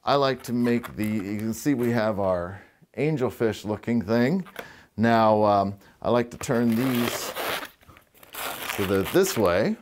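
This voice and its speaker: A middle-aged man with a fairly low voice talks through a balloon-twisting demonstration in his living room. His delivery is relaxed and chatty, full of small fillers and dry little asides. The sound is close and clean from a lapel mic. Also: slow pace, a little hiss or hum. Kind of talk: casual tutorial demonstration. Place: living room with fireplace, indoors.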